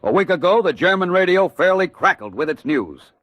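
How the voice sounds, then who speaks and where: Formal 1940s radio narrator, dry sound. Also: male voice, sits high, sing-song, a little fast, dry recording, lo-fi sound, faint music behind. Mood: grave, portentous. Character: grave male wartime radio narrator.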